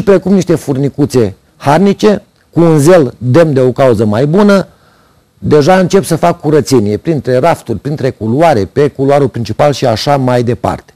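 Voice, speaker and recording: Middle-aged man, sardonic TV commentator, reading from notes, lapel mic, warm tone, dead dry room. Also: fairly high pitch, no room sound. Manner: sardonic, ironic complaints. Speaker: TV commentary host. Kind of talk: scripted opinion commentary read from notes. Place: TV studio at a desk.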